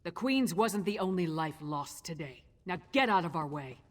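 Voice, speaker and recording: This is a towering half-giant warrior from a fantasy game. She is low and raspy, speaking bluntly with loyal, gruff resolve. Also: lively intonation, normal speed. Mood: commanding. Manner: plain-spoken, gruff, resolute.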